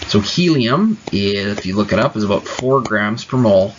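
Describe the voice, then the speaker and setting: A man's voice: on the low side, lively intonation, moderate pace, background noise. A man teaching chemistry, explaining each step patiently in a casual tone with small fillers. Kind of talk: classroom explanation. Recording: dull lo-fi recording.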